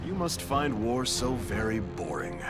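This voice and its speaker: An actor playing a shrewd, sardonic army officer in a game cutscene, talking in a wry, confident way. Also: male voice, lowish voice, sing-song, unhurried, loud music behind the voice.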